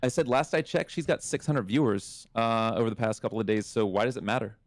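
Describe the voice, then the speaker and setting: A man's voice: mid-range voice, a little fast, no room sound. A man arguing bluntly on a group call, swearing as he pushes his point. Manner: blunt, argumentative, swears freely. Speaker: streamer debating on a panel. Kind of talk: heated casual debate.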